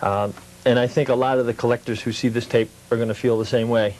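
A man's voice: mid-range voice, level tone, fast talker, a little mellow, rough recording. A man speaking as an interview guest, telling things in a casual, conversational way. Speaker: interview guest, hobby collector.

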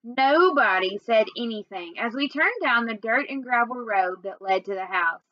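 High-pitched young woman reading aloud. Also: animated, normal speed, dry recording, lo-fi sound. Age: young adult. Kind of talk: read-aloud of a children's novel. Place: small indoor room at home.

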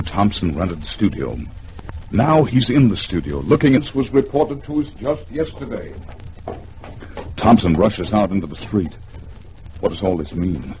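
An American man narrates a radio thriller with theatrical suspense and lively swings in pitch. His voice is fairly low and his phrasing measured. It sounds like a dull, slightly noisy 1950s broadcast. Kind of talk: dramatic 1950s radio storytelling.

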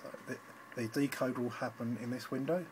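A man's voice: medium pitch, fairly even intonation, a little fast, background noise. A man giving an informal, slightly hesitant live demonstration as an amateur radio operator, recorded on a handheld phone indoors. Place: indoors at a radio station desk.

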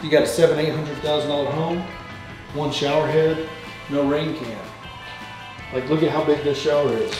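Guy in his thirties, blunt and crude walkthrough talk, echoey bare rooms with loud music over it. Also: fairly high pitch, steady tone, normal speed, pauses often. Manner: crude joking, blunt opinions. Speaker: real estate vlogger. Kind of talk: casual walkthrough commentary. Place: unfinished house under construction, indoors and outdoors.

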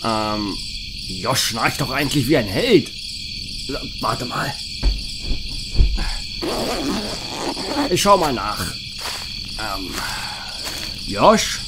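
A man's voice: mid-range voice, big pitch swings, slow pace, long pauses, very noisy. A man playing a jittery, talkative camper in a self-aware horror-comedy audio play. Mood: uneasy. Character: jittery, talkative male camper and flustered story writer. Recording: music behind the voice.

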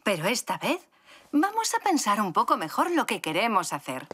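A woman voicing a patient, gentle, encouraging zebra mother in a children's cartoon dub. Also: fairly high pitch, huge pitch swings, normal speed, full character voice.

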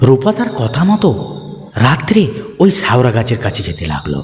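A male storyteller narrates a cartoon horror tale with hugely swooping intonation. His mid-pitched voice rings with long reverb over music. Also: wildly swooping pitch, brisk.

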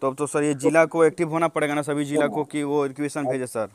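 A man's voice: on the high side, flat delivery, fast, smooth, no echo. A young man with a Bihari accent making a deferential phone inquiry, polite, insistent and repeatedly addressing the listener as sir. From Bihar, India. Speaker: job candidate calling an official.

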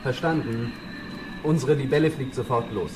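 A man's voice: medium pitch, normal speed, lightly acted. Guy playing a matter of fact cop, clipped talk, old radio drama, really noisy. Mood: businesslike. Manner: clipped, dutiful. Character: matter-of-fact adult police helicopter squad sergeant. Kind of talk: German children's radio play acting. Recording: very noisy, vehicle sounds behind.

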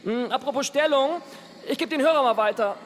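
An actor in a radio play playing a gloating, giggling underworld boss with a mocking, hesitant drawl. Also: male voice, extremely high pitch, slight background noise. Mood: gleeful mocking. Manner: mocking, chuckling, drawn-out hesitations. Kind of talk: radio play acting in German.

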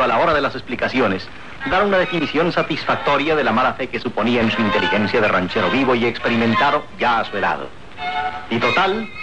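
Male radio serial narrator, formal dramatic delivery, music behind him, medium pitch. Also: low-quality recording. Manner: formal, declamatory.

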